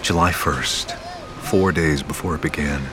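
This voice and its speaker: An actor playing a grave storyteller, giving measured, scripted narration of a mythic audio drama. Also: male voice, a bass voice, noisy background. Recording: music behind the narration.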